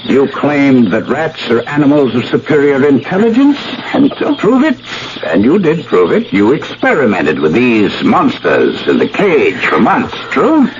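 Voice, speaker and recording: A man's voice: medium pitch, huge pitch swings, measured pace, few pauses. An actor playing a crazed, vengeful husband in a radio drama, theatrical and mockingly polite, drawing out his menace. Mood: triumphant, menacing. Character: crazed, vengeful jealous husband, gloating sadistic villain. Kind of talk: 1970s radio drama acting. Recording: noisy, dull old radio broadcast.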